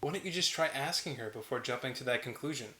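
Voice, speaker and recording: A man's voice: mid-range voice, fast, roomy sound, background noise, natural voice. A man playing an earnest, principled human who challenges others, in a drama table read. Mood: earnest, insistent. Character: earnest, principled male human companion of a vampire.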